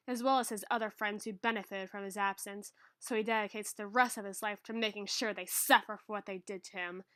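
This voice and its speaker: A woman playing an irritable, bookish high school girl who tutors and is secretly fond of her listener, in an acted ASMR roleplay monologue. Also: no room sound, clean studio sound, lightly acted. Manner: scolding, huffy, flustered, softening when bookish.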